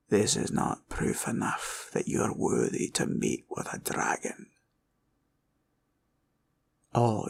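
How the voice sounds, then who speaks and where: A man playing a proud, cunning green dragon in a villain roleplay audio, with a taunting drawl and a gravelly growl. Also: on the low side, a bit bright, exaggerated voice. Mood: taunting, teasing. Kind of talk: roleplay ASMR villain monologue.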